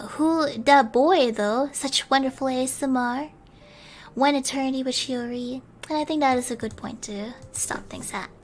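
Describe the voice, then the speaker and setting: A woman streamer reading a bedtime story in a soft ASMR style, at times slipping into a whisper. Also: sits high. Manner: soft-spoken, sometimes whispering.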